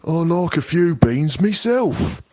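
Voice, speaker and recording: Gruff, soft-hearted storybook burglar, raised pitch and drawled, put on by a male reader. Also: very animated, no room sound. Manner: rough dialect speech, slow drawling lines. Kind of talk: storybook read-aloud.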